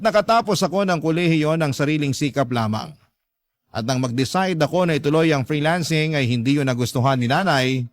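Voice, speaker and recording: Man narrating, slightly high, dry studio. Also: no echo. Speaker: radio storyteller and host. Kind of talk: scripted dramatic narration.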